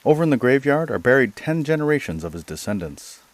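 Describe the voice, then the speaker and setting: A man plays a dignified gentleman from a storybook, astonished and emphatic. The voice is lifted well above a deep natural register. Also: sing-song, fast.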